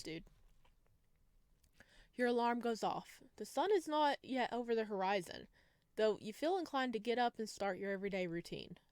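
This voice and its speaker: A woman's voice: medium pitch, darkish tone, smooth, dry recording, studio quality. A woman doing a let's play, reading visual novel narration aloud and dropping in chatty, casual asides. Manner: chatty asides, reacts to the story. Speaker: video game streamer.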